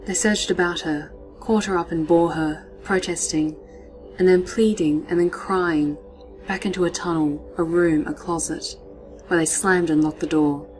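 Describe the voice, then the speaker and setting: A woman reads a short story in a low, hushed voice, often sinking to a near whisper. Her pacing is dramatic and unhurried. Soft music plays faintly behind her. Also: fairly even intonation, low-quality recording.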